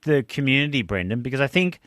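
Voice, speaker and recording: A man hosting a talkback radio show, chatting conversationally and jumping in with quick questions. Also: medium pitch, big pitch swings, fairly bright tone, dry recording. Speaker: radio host.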